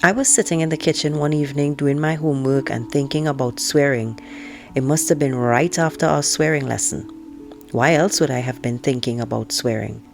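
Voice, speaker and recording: A woman's voice: very deep voice, brisk, gravelly, darkish tone, faint music behind. A woman reading a storybook aloud as an outspoken, indignant teenage girl, in a frank, conversational and sarcastic manner. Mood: frank, musing. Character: outspoken, indignant teenage girl narrating her family life. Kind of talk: first-person audiobook read-aloud.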